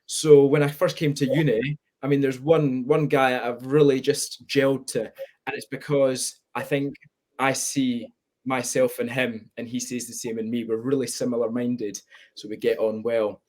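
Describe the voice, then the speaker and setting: A man's voice: medium pitch, little pitch movement, normal speed, a little mellow. Reflective young Scottish man. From Spain. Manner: candid, reflective. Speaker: guest. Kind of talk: webinar conversation.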